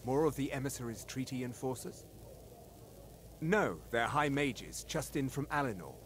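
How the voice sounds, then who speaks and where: A man's voice: mid-range voice, lively intonation, normal speed, a little hiss or hum, natural voice. A man playing a gossiping guard in a fantasy video game. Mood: gossipy. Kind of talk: video game character acting. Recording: loud background ambience over the voice.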